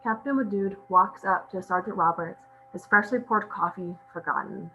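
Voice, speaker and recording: Casting: female script narrator, mid-pitched, reading stage directions, dull recording with background hum. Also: moderate pace. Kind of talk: scripted narration at a table read. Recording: muffled audio with clear hum.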